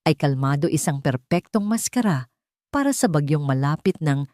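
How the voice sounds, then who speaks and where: A woman's voice: low-pitched, lively intonation, moderate pace, a little mellow, no room sound, clean studio sound. A woman narrator reading a scripted romance story in a dramatic storytelling style.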